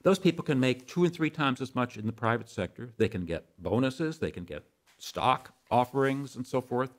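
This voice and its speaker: A man's voice: medium pitch, expressive, normal speed. An older man speaking as an expert guest in an interview, explaining in a conversational way and repeating phrases for emphasis.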